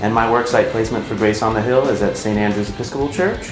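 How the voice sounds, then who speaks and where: A man's voice: fairly low pitch, fast. An American man in his twenties giving an unscripted personal testimonial as a service intern. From Virginia, United States. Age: 20s. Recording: echoey, distant mic, guitar music behind.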